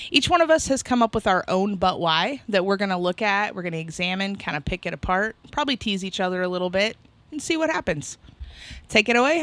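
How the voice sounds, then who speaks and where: A woman's voice: medium pitch, fairly bright tone, no room sound. A woman in her forties co-hosting a podcast, easygoing and self-deprecating, laughing often. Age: 40s. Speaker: podcast co-host. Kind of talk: casual chat.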